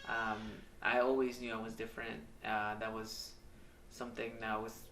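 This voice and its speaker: A man in his thirties from Mexico, telling his life story in a candid, hesitant way with many pauses and ums. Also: on the low side, monotone, bright, forceful tone, slight background noise. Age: 30s. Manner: hesitant, halting, with frequent ums and uhs. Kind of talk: candid personal testimonial. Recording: echoey room, mic at a distance.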